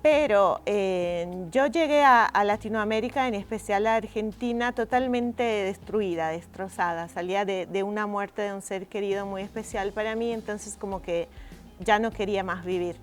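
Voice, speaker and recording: A middle-aged woman from Venice, a native Italian speaker, talking reflectively as an interviewed writer, expressive and often pausing to search for words. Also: mid-range voice, soft background music. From Venice, Italy.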